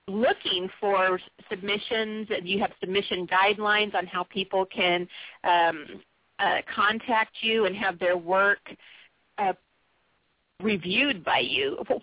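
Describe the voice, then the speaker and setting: A woman's voice: mid-range voice, slow pace, no room sound. A woman hosting a radio interview, talking in a conversational way with frequent restarts. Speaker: radio show host. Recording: dry tinny radio sound.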